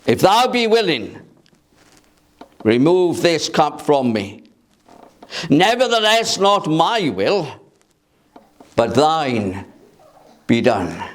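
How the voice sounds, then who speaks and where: A man preaching a sermon in a church through a microphone, speaking loudly and emphatically and asking rhetorical questions. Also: on the high side. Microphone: amplified by a microphone.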